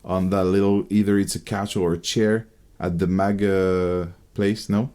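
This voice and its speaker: French-accented man with a low voice. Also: little pitch movement, a little slow, warm tone. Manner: playful, repeats words. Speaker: podcast co-host. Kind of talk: casual podcast chat.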